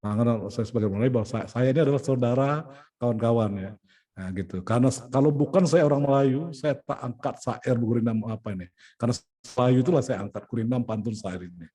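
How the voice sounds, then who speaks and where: Indonesian organizer, middle-aged man, warm mellow tone, explains logistics one by one, leans on "nah" and "ya". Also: mid-range voice, fast. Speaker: event organizer and poet. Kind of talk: planning meeting talk.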